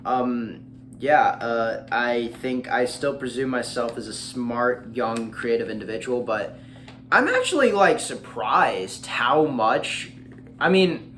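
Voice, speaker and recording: A man's voice: mid-range voice, expressive, slow pace, a bit bright, a little hiss or hum. A young American man in his late teens chatting casually as a vlogger, in a rambling, hesitant way that often circles back on itself. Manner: hesitant, rambling, repeats himself. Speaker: vlogger and streamer. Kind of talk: casual chat to camera, reading and reacting to a letter.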